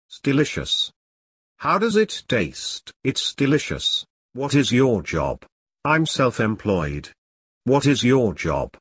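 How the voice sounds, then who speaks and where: Man, drill reader for a language lesson: slow, husky, very lively pitch, dry close mic. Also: dry recording. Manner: reads each question and answer twice, measured and deliberate. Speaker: English teacher reading practice dialogues. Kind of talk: slow scripted drill reading.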